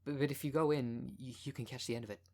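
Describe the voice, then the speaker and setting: A man's voice: mid-range voice, fairly even intonation, fast, darkish tone, lightly acted. A man playing a timid, flustered hotel receptionist in an audio roleplay, stammering and apologetic. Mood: nervous, apologetic.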